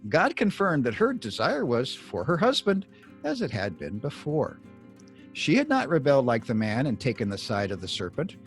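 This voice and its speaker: A man reading scripted Bible teaching like an audiobook author, deliberate and emphatic, with measured pauses. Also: medium pitch, wildly swooping pitch, soft background music. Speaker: author reading own book excerpt.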